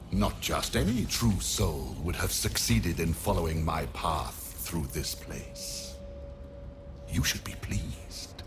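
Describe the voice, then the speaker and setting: An actor playing a pompous, scheming necromancer in a video game, condescending and theatrical in his deliberate speech. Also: sits low, noisy background, exaggerated voice. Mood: smug condescension. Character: pompous, scheming male necromancer, haughty and contemptuous.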